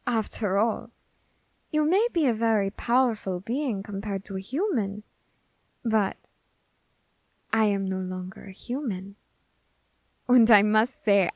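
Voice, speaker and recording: A woman's voice: fairly high pitch, no echo. A woman playing an eager, curious young Grim Reaper scholar in an ASMR roleplay, fascinated and politely menacing. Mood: musing, menacing, then gleeful, excited. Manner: fascinated, deliberate, politely threatening. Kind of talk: ASMR roleplay addressed to the listener.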